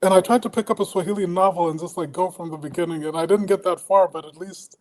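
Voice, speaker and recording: A man who grew up in Denmark, a poet guest on a podcast, speaking thoughtfully in casual chat and often pausing to find his words. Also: high-pitched, fairly even intonation, poor audio. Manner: thoughtful, searching for words with frequent pauses.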